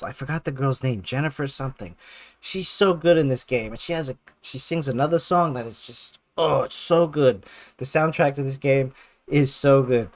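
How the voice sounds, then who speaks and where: A man giving casual, excited video game countdown commentary in a gushing, slangy, emphatic way with heavy swearing. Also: medium pitch, no echo. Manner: gushing, heavily profane.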